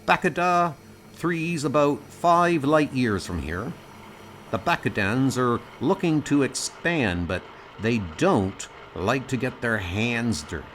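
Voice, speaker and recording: A man's voice: medium pitch, lively intonation, slow pace, a bit bright, slight background noise. A man voicing a brash, boastful, dismissive frontier colony boss in an audio comic dub.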